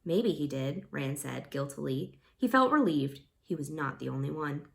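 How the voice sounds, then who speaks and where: A woman reading a fantasy novel aloud as a volunteer narrator, expressive and informal, with the odd stumble. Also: low-pitched, normal speed, creaky. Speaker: audiobook-style volunteer reader.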